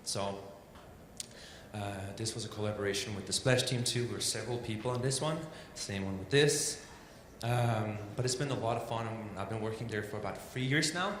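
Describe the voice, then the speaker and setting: A man's voice: on the low side, normal speed. A man from Denmark with a Danish accent, a native Danish speaker, giving an informal illustration talk in a loose, chatty, self-deprecating way full of "like" and "um". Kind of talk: informal presentation. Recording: echoey hall with steady background noise.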